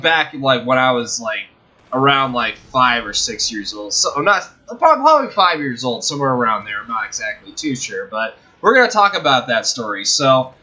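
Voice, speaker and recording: A young man hosting a video, telling a personal story casually in a halting, rambling, self-correcting way. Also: medium pitch, pushed and bright, highs cut off. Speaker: video host.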